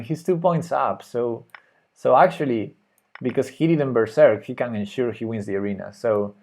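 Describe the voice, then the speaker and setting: A man with a Spanish accent streaming live chess, thinking aloud and hesitantly muttering his moves. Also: a little high. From Spain. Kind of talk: live chess commentary while playing.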